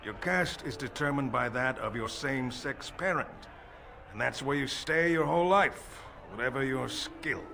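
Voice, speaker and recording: Male actor as a jaded dwarf lord, medium-pitched voice, sardonic, self-deprecating, casual, fantasy game. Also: normal speed, creaky, noisy background, lightly acted. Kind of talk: video game character acting.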